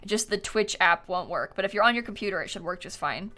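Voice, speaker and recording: A woman's voice: mid-range voice, lively intonation, fast talker, pushed and bright. A young woman live game streamer chatting casually and informally with her viewers, with a giggly manner. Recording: music playing behind. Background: music, typing.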